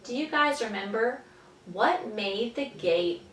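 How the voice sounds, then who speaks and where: A woman teaching young children, patiently explaining in simple words and pausing after questions, as in a story lesson. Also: fairly high pitch, expressive, fairly bright tone. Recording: echoey room, distant mic, faint noise.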